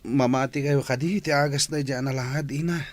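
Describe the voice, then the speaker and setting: Actor as ailing, doubtful young son. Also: male voice, medium pitch, fairly even intonation, brisk, natural voice. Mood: weary, doubtful. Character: ailing, doubtful grown son confined to his room. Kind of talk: Ilocano radio drama acting. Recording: faint background noise.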